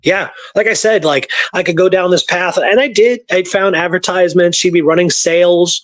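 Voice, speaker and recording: A man casually retelling history research from his notes, halting and informal as he reads out dates. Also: high-pitched, dry recording.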